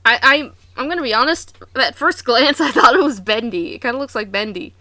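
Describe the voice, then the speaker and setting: A woman hosting a reaction video, commenting casually in an animated, exclamatory, slangy way. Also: fairly high pitch, fairly quick, dry recording.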